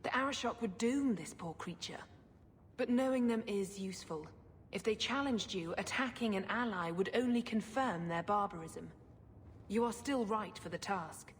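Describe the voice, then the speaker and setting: Secretive middle-aged sister, fantasy game, female actor. Measured, formal, coolly persuasive; mid-pitched, long reverb. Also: lightly acted. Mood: cold, persuasive. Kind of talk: video game voice acting. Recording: long hall reverb, clear background noise.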